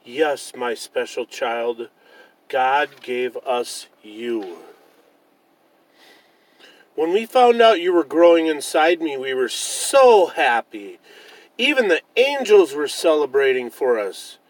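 A man voicing a loving, gentle mother bear who reassures her cub in a children's storybook. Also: medium pitch, very animated, slow, no echo, poor audio. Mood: tender.

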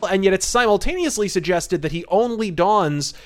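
A man's voice: high-pitched, expressive, moderate pace. A man hosting a podcast and giving conversational, detailed film commentary with a wry manner. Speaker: podcast host.

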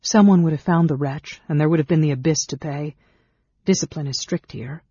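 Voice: medium pitch, animated, a little slow, warm tone, breathy edge, no echo, highs cut off, voice pushed up. A narrator voicing a bold, cool woman warrior who speaks in a commanding, scornful manner in a fantasy storybook. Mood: cool, practical.

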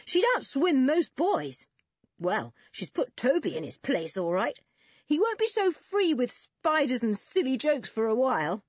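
A woman plays a chummy schoolboy from a children's adventure book. He is eager and brisk, his pitch bouncing about, in a dry, close recording. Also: big pitch swings, no room sound, muffled recording, full character voice. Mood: amused, admiring.

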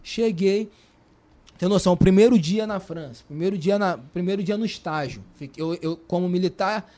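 A Brazilian man with a Brazilian Portuguese accent, a guest telling his story in a lively, colloquial way, full of restarts and repetitions. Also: sits high, normal speed. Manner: animated, colloquial, repeats and restarts. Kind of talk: casual storytelling.

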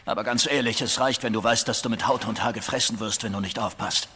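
Man playing a blunt, weary police lieutenant, forceful voice. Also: medium pitch, steady tone, fast, a little hiss or hum, natural voice. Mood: grave, reassuring. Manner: grave, reassuring. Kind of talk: German audio drama acting of a video game.

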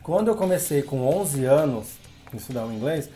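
A middle-aged man from Brazil, a guest on a podcast, telling his story in a relaxed way with many filler tags. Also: on the high side, a little fast, soft background music. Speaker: guest, English teacher. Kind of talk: casual podcast interview.

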